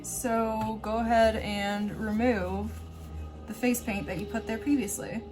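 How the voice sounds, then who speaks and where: A woman's voice: fairly high pitch, steady tone, measured pace, darkish tone, faint music behind. A woman hosting a makeup tutorial in a casual, chatty style, with an offhand, self-deprecating and informal way of talking.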